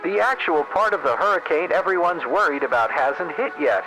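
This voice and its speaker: A man's voice: on the high side, expressive, moderate pace, over music, natural voice, rough recording. A man playing a local radio host in a found-footage drama, talking informally and offhand on a live broadcast. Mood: casual, uneasy. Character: casual male local radio host during hurricane.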